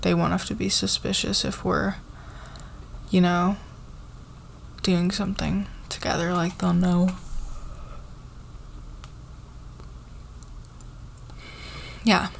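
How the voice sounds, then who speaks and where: A woman playing a flustered, fair-minded company boss in a casual roleplay ASMR, with a halting, informal, giggly manner. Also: lowish voice, flat delivery, creaky, a little hiss or hum. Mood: relieved, intimate.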